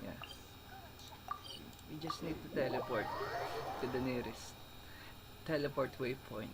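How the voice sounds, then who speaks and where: A woman's voice: extremely low pitch, a little slow, heavy background noise. A woman with a Filipino accent giving casual commentary as she streams a game. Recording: loud game music behind voice.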